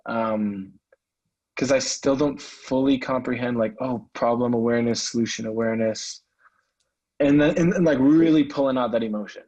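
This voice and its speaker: A man's voice: mid-range voice, moderate pace, a little mellow, lo-fi sound. A man speaking casually and candidly, a little self-effacing, as a salesman on a recorded call. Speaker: salesman, prospective coaching client. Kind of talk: casual, candid phone conversation.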